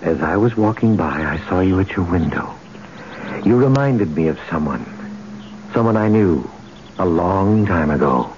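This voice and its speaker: An actor playing an ancient god, romantic, brooding and commanding, speaking in a solemn, intimate, declamatory way in an old-time radio drama. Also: male voice, sits low, expressive, normal speed, darkish tone. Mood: wistful, insistent. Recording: muffled, noisy old radio broadcast.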